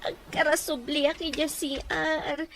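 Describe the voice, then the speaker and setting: A woman's voice: high voice, steady tone, unhurried, fairly bright tone, a little hiss or hum. A woman with an Ilocano accent playing a moaning, pleading woman in pain in a radio drama. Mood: pained, whining.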